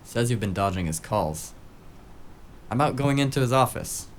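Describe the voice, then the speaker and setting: An actor playing a cheeky, eager, tech-savvy sidekick in a noir audio drama, with breezy, casual banter. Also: male voice, medium pitch, lively intonation, moderate pace, a little hiss or hum, close to an everyday voice.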